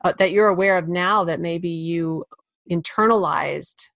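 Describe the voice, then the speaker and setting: Soft-voiced woman podcast host, dry sound. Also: fairly low pitch, measured pace, vocal fry, dry recording. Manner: gentle, probing questions. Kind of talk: conversational interview.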